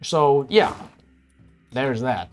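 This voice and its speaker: Rambling, swearing man with lively pitch. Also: faint music behind. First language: Cantonese. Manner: rambling, halting, many restarts and swearing. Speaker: streamer. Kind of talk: casual chat while streaming a strategy game.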